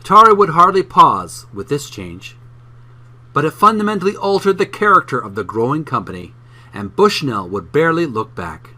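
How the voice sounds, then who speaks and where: Lively, bright-voiced man reading aloud. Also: fairly high pitch. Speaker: host reading a book aloud. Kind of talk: book narration for a video channel.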